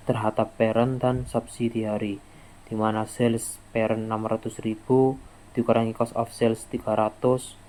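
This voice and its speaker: A young man giving an explanatory class presentation in an informal, halting way, often repeating words. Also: mid-range voice, a little mellow, rough recording. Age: young adult. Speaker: student presenter.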